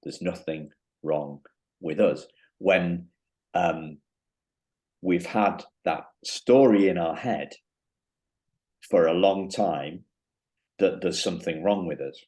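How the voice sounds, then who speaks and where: A man hosting an interview podcast, talking in a halting way with many restarts and fillers. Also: low voice, a little mellow. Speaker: podcast host. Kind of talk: casual interview chat.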